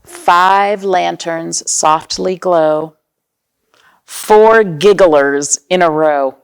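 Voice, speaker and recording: A woman with a creaky voice hosting storytime for young children, reading aloud and chatting to them in simple explanations and questions. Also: on the low side, very slow. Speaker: children's storytime host at a library.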